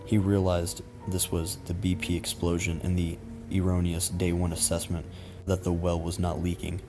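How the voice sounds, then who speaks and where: A man reading a scripted creepy story as narrator in a measured, matter-of-fact way. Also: sits low, completely flat delivery. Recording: music behind the voice. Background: background music.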